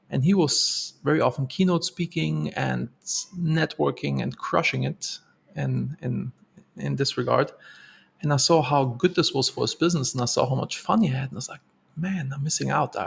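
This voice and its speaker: Gravelly German-accented man telling his story. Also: medium pitch. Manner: earnest, reflective, with fillers and repeats. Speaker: podcast co-host. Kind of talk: casual storytelling.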